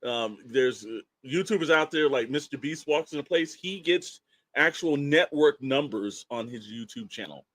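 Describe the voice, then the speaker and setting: A man's voice: medium pitch, expressive, normal speed, a bit bright, dry recording. A man co-hosting a podcast, talking in a casual, slangy, emphatic storyteller's way that rambles along. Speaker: podcast co-host.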